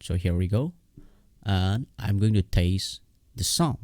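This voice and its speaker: Very deep, mellow man giving a software tutorial. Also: expressive, no echo, studio quality. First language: not English. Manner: repetitive, halting step-by-step explaining. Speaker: tutorial host. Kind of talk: casual software tutorial, screen walkthrough.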